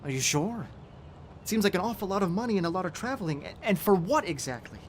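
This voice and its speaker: Man playing a high-voiced, earnest young student. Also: sing-song, fast talker, slight background noise. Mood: concerned. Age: young adult. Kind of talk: radio play acting.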